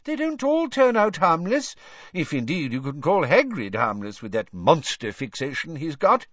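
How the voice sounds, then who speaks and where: A man's voice: on the high side, wildly swooping pitch, moderate pace, fairly bright tone, no room sound, lo-fi sound, pitched above the performer's own voice, exaggerated voice. A man voicing a pompous, fussy, impatient older government minister in an audiobook. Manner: blustering, emphatic, pompous. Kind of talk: audiobook character acting.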